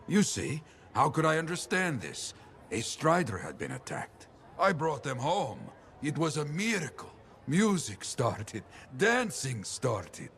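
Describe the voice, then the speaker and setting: A man playing an earnest, solemn veteran adventurer, telling stories deliberately in a gravelly voice in a video game. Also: a little high, wildly swooping pitch, a bit bright, full character voice. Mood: earnest wonder. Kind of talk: dramatic video game cutscene acting.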